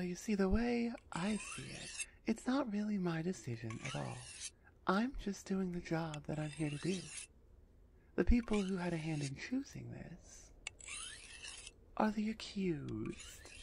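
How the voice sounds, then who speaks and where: A woman playing a big, haughty minotaur executioner in an ASMR roleplay audio drama, stern and dismissive, with a husky, deliberate delivery. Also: fairly low pitch, darkish tone, slight background noise. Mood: matter-of-fact.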